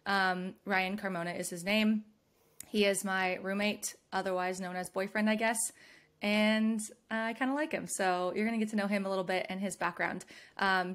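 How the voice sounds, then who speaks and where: A woman's voice: medium pitch, fairly bright tone. A young adult woman from California hosting a podcast, giving advice in an upbeat, conversational way and laughing often. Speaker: podcast host. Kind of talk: casual advice chat.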